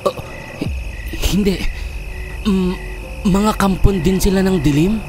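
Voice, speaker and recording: A man acts out a defiant young hero in a horror radio play. The voice is pushed high, with loud music underneath. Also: takes it slowly. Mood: alarmed.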